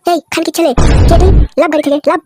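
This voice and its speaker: A woman's voice: very high-pitched, fairly even intonation, very fast, over music. A woman voicing a cocky, rude young man in a crude slapstick cartoon, brash and full of insults. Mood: bossy, angry. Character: cocky rude new car owner Lalu, boastful young man.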